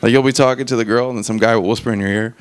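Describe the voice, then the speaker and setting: A man performing conversational stand-up at a live open mic, animated and sweary, often checking in with the audience using short questions. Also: medium pitch, very fast. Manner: animated, swearing, frequent rhetorical check-ins to the crowd. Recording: clearly noisy live open mic recording.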